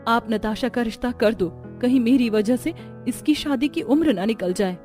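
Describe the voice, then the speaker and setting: A woman voicing a gentle, meek young woman in a cartoon story, speaking softly and patiently. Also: a little high, normal speed, soft background music, close to an everyday voice. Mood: sad. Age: young adult. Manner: soft, patient, pleading. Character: gentle, meek, self-deprecating young dark-skinned sister. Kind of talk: Hindi cartoon story acting.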